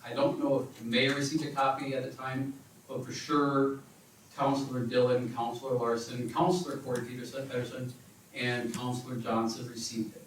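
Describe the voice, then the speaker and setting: A man's voice: medium pitch, level tone, moderate pace, slight background noise. A man giving a careful, formal account at a city council meeting, speaking in hedged, lawyerly phrases. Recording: echoey council chamber, distant mic.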